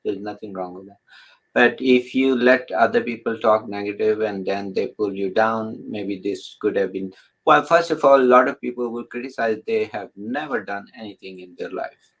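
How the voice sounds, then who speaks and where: Man, host, interview, halting, lots of restarts, lowish voice. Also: steady tone, a bit bright, breathy edge, rough recording. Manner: halting, with many restarts and filler words.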